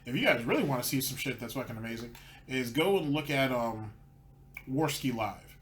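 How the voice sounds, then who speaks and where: A man rants through his commentary with a sarcastic, mocking edge. His voice sits at an ordinary pitch. A faint hiss of background noise runs beneath him. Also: lively intonation, moderate pace, fairly bright tone. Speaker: commentary channel host. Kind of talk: ranting commentary over clips.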